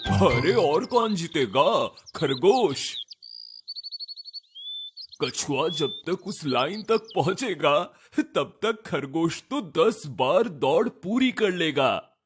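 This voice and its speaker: A man's voice: a little high, big pitch swings, drawn-out words, exaggerated voice. A man voicing an easygoing, blunt male bear in a children's cartoon, offhand and sure of himself. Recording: music bed behind voice.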